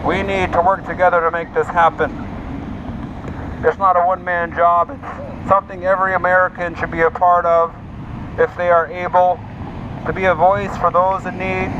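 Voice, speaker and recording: A man giving an impassioned, emphatic advocacy speech with religious appeals and repeated slogans through a megaphone. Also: high voice. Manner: exhorting, emphatic, repetitive slogans. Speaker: homeless advocate, street speaker. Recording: noisy, dull megaphone sound outdoors with traffic.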